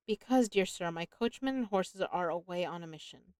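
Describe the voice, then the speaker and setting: A woman's voice: on the low side, animated, moderate pace, a little mellow, no echo, clean studio sound, lightly acted. A woman reading the lines of a courtly, sinister old male vampire count, stately and falsely gracious. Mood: suave menace.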